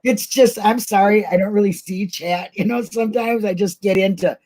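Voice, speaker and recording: A woman's voice: medium pitch, moderate pace, darkish tone. A woman hosting an informal online talk, speaking casually in a blunt, emotional way. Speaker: host of an online livestream show.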